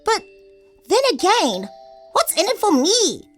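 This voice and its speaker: A woman with a South African accent playing an excitable, cheeky female bunny in children's comedy audio theatre. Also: extremely high pitch, takes it slowly, soft background music, heavily acted. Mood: curious, cheeky. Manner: exclaiming, theatrical, playful.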